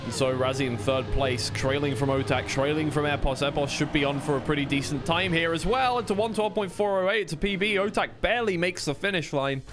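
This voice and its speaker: A man's voice: a little high, brisk, hardly any pauses. Male esports commentator over noisy game audio. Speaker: esports commentator streaming a tournament. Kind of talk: live play-by-play commentary, casual and unscripted.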